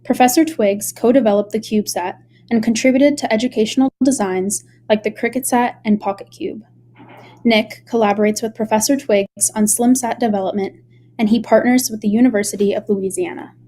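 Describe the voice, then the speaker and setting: A teenage girl student giving a measured, formally read science presentation. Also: on the high side, steady tone, warm tone, in a large hall, slight background noise. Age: teens. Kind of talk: reading a scripted presentation.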